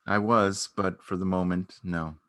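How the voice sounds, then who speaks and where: Low-voiced, awkward beekeeper played by a man. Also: steady tone, a bit bright. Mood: nervous, polite. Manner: hesitant, understated, halting. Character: awkward, unassuming male beekeeper, gently earnest and halting. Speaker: amateur or local theatre actor. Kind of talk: naturalistic dramatic reading of a stage dialogue.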